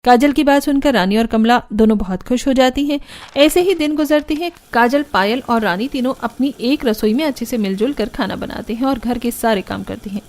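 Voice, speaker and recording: A woman narrates a scripted cartoon story as its storyteller. Her voice is high, and music runs behind the narration. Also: a little fast, darkish tone.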